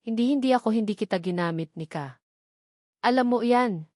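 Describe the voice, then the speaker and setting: A woman's voice: a little high, animated, fairly quick, a little mellow, dry recording, clean studio sound, natural voice. Stammering, defensive young man, voiced by a woman. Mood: shocked denial. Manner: stammering, disbelieving. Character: shocked, defensive young man denying fatherhood.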